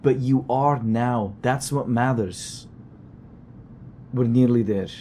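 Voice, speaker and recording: A man acting in an audio drama as a steady, reassuring friend at the wheel, with road noise behind him. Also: medium pitch, slow pace. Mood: gentle, reassuring. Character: steady, reassuring male friend driving a car.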